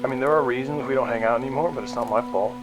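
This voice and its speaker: A man acts a frustrated mechanic in a sci-fi audio drama. He has a middle-pitched voice and a casual, argumentative, naturalistic way of talking. Loud music plays behind him. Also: rapid-fire, natural voice, rough recording. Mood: hurt, accusing. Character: frustrated young-ish male mechanic hurt by estranged best friend.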